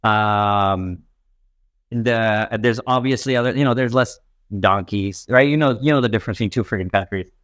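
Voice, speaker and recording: A man's voice: lowish voice, normal speed, a bit bright, dry recording, clean studio sound. A young man from Pakistan, a stand-up comic guest chatting casually and rambling with lots of fillers. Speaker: podcast guest, stand-up comedian. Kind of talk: casual chat.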